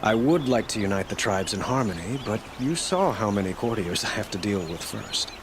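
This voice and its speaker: A man playing an earnest young king in a video game, speaking in a formal, measured, eloquent way. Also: lowish voice, animated, background noise. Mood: earnest, regal. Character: young sun king, regal, earnest, measured male ruler. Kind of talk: scripted video game dialogue.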